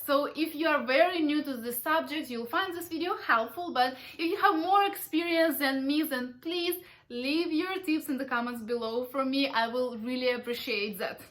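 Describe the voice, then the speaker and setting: Chatty high-voiced woman. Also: moderate pace, few pauses. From Ukraine. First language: Russian. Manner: chatty and explanatory, self-described talkative. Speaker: parenting vlogger. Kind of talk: casual talk to camera.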